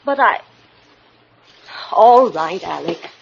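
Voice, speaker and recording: An actress playing a worried, frightened wife in an old-time radio drama, hesitant, earnest and pleading. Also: female voice, on the low side, lively intonation, slow, creaky, background noise, full character voice. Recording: dull vintage radio recording.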